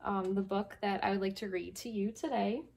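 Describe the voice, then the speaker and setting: Woman teacher with mid-pitched voice. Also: steady tone, fairly quick, vocal fry, darkish tone. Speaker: teacher and guest story reader. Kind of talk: casual talk and picture-book read-aloud for children. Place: basement.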